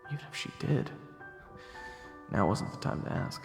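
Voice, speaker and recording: A man playing a brooding first-person narrator in a fantasy audio drama, telling the story in a grave, measured way. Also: natural voice. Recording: reverberant echo, music underneath.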